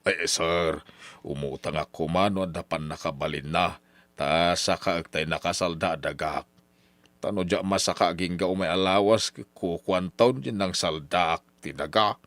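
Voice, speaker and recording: A man's voice: low-pitched, moderate pace, a bit bright, dry recording. An actor playing an ambitious, scheming older father, pushy and insistent, in old radio drama style. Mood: pleading, deferential.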